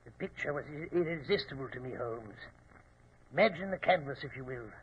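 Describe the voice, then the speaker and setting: An actor playing a suave, grandiose criminal mastermind with measured, courteous menace in 1940s radio style. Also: male voice, mid-range voice, animated, natural voice. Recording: muffled, noisy 1940s radio recording.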